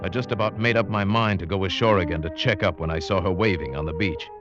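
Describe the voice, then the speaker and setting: A man narrating an old-time radio drama in the first person, in a measured, brooding way. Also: deep voice. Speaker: narrator and lead. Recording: dull old radio recording with music.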